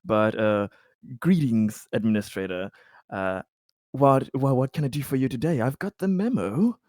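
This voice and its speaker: Polite guy doing rpg dialogue, stammers a lot, normal pitch, very dry mic. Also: lively intonation, normal speed, darkish tone, no room sound. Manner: stammering, courteous. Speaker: tabletop roleplaying player. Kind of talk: casual improvised in-character conversation.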